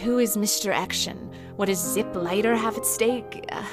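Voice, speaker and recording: A woman playing a sultry, sharp-tongued nightclub singer and informant in a noir comedy audio drama, flirtatious and sardonic. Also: medium pitch, moderate pace, over music, natural voice. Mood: teasing, flirtatious. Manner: flirtatious, sardonic, wordplay-heavy banter.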